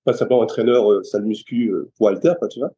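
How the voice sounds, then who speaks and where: Mellow-voiced French man, hesitant. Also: lowish voice, fast. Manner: hesitant, many restarts and fillers. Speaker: guest, personal trainer. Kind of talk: casual interview chat.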